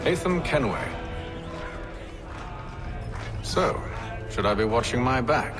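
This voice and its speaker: A man plays a confident gentleman adventurer aboard ship in a video game. His delivery is formal and drily sardonic, with a lively swing in pitch. Music sits behind him. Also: moderate pace, natural voice. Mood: composed. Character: self-assured, dry-witted gentleman adventurer at sea. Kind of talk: dramatic video game voice acting.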